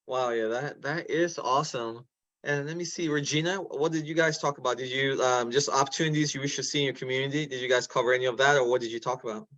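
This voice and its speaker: A man's voice: medium pitch, steady tone, fast talker, a bit bright, no room sound. A man with a mid-range voice moderates a discussion, politely calling on people for feedback. His audio is dull and muffled, recorded dry and close. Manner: polite, prompting questions. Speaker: discussion facilitator. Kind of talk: moderating a meeting.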